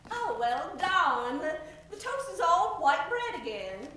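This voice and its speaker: An actress playing a hapless, self-pitying housewife in a mock old-time radio commercial. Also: female voice, very high-pitched, sing-song, takes it slowly, sharp tone, distant mic, full character voice. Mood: resigned. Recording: very echoey stage recording.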